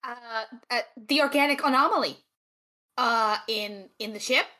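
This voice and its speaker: A woman roleplaying in a tabletop game, speaking in a halting, stammering, repetitive way. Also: fairly high pitch, pushed and bright. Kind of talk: in-character improvised roleplay.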